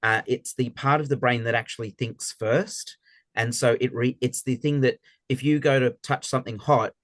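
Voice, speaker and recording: A man facilitates an interactive online session, encouraging and affirming, restarting words as he goes. His voice sits in a middle pitch. The sound is dry and close. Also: fairly even intonation, moderate pace, dry recording. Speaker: workshop facilitator.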